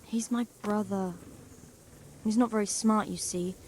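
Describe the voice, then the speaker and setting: A woman's voice: mid-range voice, steady tone, unhurried, darkish tone, a little hiss or hum, natural voice. Woman acting a guarded, protective mill worker. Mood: guarded worry. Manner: guarded, earnest. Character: wary working woman, protective of her simple brother. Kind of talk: video game cutscene acting. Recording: faint background ambience.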